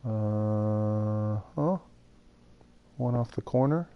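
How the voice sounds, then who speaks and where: A man's voice: lowish voice, extremely slow, clear, resonant tone, highs cut off, soft background music. A man streaming a building game live, thinking aloud and chatting with viewers. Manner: thinks aloud while building, talks to chat. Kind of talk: casual live gameplay chat.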